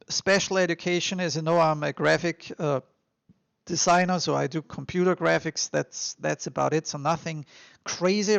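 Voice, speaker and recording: Male podcast host, Austrian accent, fairly high creaky voice, dry close sound; emphatic, encouraging advice-giver. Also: dry recording. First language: German. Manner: emphatic, encouraging, with informal repetition. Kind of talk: motivational advice monologue to listeners.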